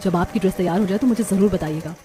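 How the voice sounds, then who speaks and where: A woman voicing polite young women of an Indian family in a cartoon story, with expressive delivery. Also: mid-range voice, rapid-fire, a little hiss or hum, natural voice. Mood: curious. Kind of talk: scripted cartoon story dialogue.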